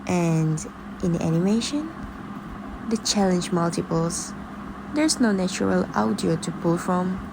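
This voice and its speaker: A woman's voice: fairly low pitch, lively intonation, unhurried, gravelly, background noise. A woman presenting a scripted explainer narration in the manner of a video essay.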